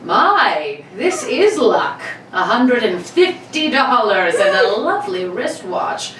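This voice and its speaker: A woman's voice: on the high side, very animated, takes it slowly, fairly bright tone, exaggerated voice. A woman playing a cocky male burglar of about thirty in an old-time radio play reading, gloating and mock-courteous. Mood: gleeful, mocking. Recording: roomy, distant mic, background noise.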